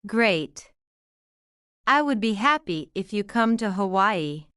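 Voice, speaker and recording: A woman reading one side of a scripted English lesson dialogue, speaking in careful, clearly separated simple sentences. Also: medium pitch, animated, takes it slowly, a bit bright, no room sound, clean studio sound. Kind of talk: slow scripted practice dialogue.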